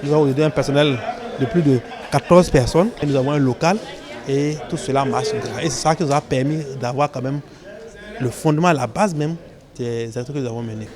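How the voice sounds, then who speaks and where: A man's voice: mid-range voice, a little fast. A man giving an explanatory interview answer as an official. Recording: busy outdoor crowd noise.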